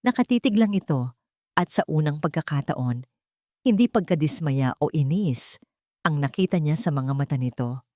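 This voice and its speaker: A woman's voice: deep voice, expressive, moderate pace, dark tone, no room sound, clean studio sound. A woman with a Filipino accent reading a scripted romantic story as narrator.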